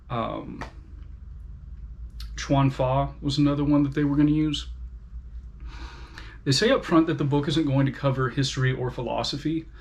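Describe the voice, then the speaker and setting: A man talking at an unhurried, deliberate pace as he reviews a book on his channel. His pitch sits in a middle range, and he pauses often to gather his thoughts. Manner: slow, careful, with frequent pauses and fillers. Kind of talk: casual spoken book review.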